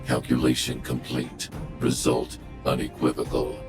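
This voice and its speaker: An actor playing a cold, calculating giant guardian robot in a video game, speaking in a formal, emotionless, machine-like way. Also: male voice, a bass voice, monotone, measured pace, music in the background. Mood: cold, analytical.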